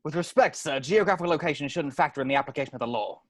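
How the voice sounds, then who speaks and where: An earnest, humourless police sergeant played by a man at a script reading, formal and clipped. The delivery is quick and lively in pitch, with a husky, fairly high male voice. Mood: stiff, earnest. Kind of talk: amateur screenplay table read.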